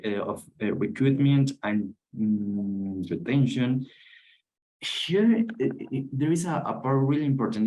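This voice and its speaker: Male panelist, average pitch, restarts his sentences as he gathers his thoughts. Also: warm tone, low-quality recording. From Venezuela. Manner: halting, searches for words, repeats himself. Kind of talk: online panel discussion. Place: office in Ecuador.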